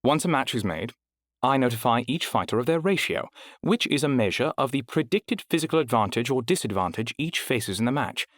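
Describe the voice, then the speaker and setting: A man voicing a polished female fight registrar with a smooth accent, asking brisk formal questions. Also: mid-range voice, lively intonation, a bit bright, dry recording, studio quality, pitched above the performer's own voice, lightly acted. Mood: explaining.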